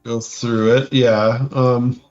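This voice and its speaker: A man co-hosting a podcast in casual chat, halting and repeating his phrases. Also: mid-range voice, level tone, a little mellow, lo-fi sound, faint music behind. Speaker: podcast co-host. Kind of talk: casual chat while reading through game rules.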